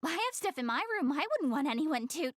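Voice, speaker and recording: High girlish voice, young anime game goddess, swooping intonation, prim, proud, easily flustered, dry studio sound. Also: very animated, no room sound. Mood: bashful, defensive. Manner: prim, flustered, stammering, quick to backtrack. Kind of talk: anime game English dub.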